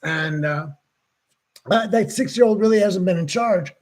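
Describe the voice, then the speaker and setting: A man in his sixties hosting a casual chat, telling stories with halting restarts. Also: high-pitched, darkish tone. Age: 60s. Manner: rambling storyteller, halting restarts. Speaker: show host.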